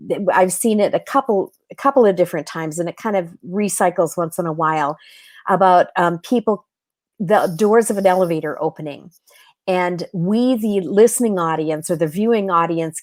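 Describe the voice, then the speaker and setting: Lower-voiced woman, dry close sound. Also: a little mellow, no echo. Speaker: interview guest, novelist. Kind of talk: reflective conversational interview.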